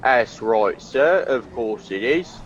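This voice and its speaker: An actor playing an evasive, offhand small-town post office clerk in an audio crime drama. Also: male voice, medium pitch, expressive, slow, dull audio. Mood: cagey.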